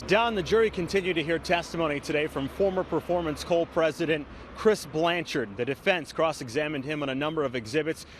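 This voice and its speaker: A man reporting the news on television with a clear, scripted broadcast delivery. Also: fairly high pitch, a bit bright, slight background noise.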